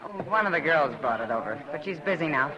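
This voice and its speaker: A woman's voice: sits low, expressive, fast. An actress playing a dry-witted, fond saloon woman who teases in an easy, wry way, in a vintage radio drama. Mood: wry teasing. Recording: dull old radio broadcast, bar crowd behind.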